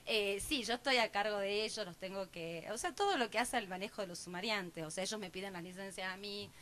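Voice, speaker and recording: A woman's voice: medium pitch, fairly quick, fairly bright tone, a little hiss or hum. A woman, an assistant prosecutor, answering a panel in a formal job interview, explaining at length with many fillers.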